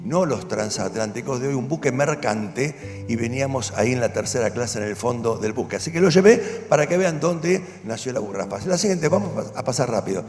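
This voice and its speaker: A man's voice: mid-range voice, expressive, brisk, darkish tone. An older man, a pastor telling his life story in earnest, storytelling fashion, rising to firm conviction at times. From Argentina. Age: 70s. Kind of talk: personal testimony at a church event. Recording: long hall reverb with music behind. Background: music.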